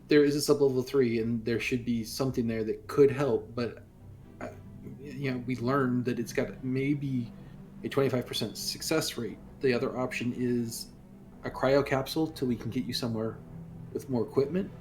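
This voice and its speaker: A man playing an earnest android crewman in a tabletop roleplay, reporting in a halting, explanatory way. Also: mid-range voice, steady tone, darkish tone, soft background music, lightly acted. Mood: earnest, explaining. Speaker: player.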